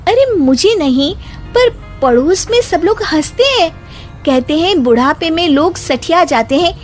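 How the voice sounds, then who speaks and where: A woman playing a sharp-witted young daughter-in-law with a pert, emphatic manner in a cartoon moral story. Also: very high voice, lively intonation, unhurried. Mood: complaining. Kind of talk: animated moral story dialogue. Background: background music.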